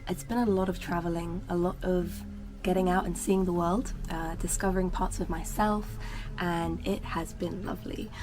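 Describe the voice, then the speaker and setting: A woman from England, hosting her channel in a casual vlog introduction; her voice is husky and sniffly from a cold, and she talks in an earnest, reflective way. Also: fairly low pitch. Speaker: channel host. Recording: clear background noise.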